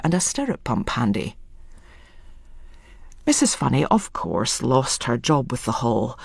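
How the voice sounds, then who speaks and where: A woman's voice: low voice, creaky, breathy edge, no room sound, studio quality. A woman reading a scripted literary story as a dramatic narrator.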